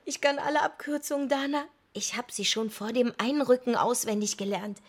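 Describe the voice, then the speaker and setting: An actress playing a brooding, defiant daughter who pines for her twin brother, in intimate, husky radio drama acting. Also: fairly high pitch, moderate pace. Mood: reading, animated. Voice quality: husky, breathy.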